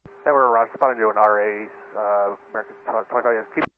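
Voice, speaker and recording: A man talks as an airline pilot in very fast, procedural bursts. He is heard over a narrow, tinny cockpit radio with faint noise behind him. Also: on the low side, fairly even intonation. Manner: clipped, procedural phraseology. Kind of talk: radio readbacks to air traffic control.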